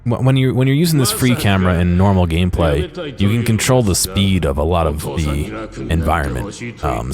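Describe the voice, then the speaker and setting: A man giving casual, rambling explanatory commentary over video game footage. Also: deep voice. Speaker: gaming video creator. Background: music.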